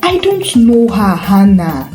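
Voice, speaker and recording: An earnest, proud pastor in a story, played by a woman narrator. He is intense and insistent, with a mellow timbre and lively pitch movement, heard in a roomy space. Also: slow. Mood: pleading, insistent. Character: earnest, wounded, proud married male pastor. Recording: roomy echo with faint music.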